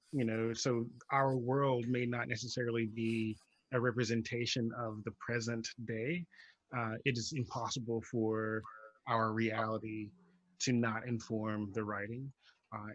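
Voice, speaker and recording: A man talking reflectively as a playwright on a panel, articulate but halting as he works through his thoughts. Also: medium pitch, dry recording, poor audio.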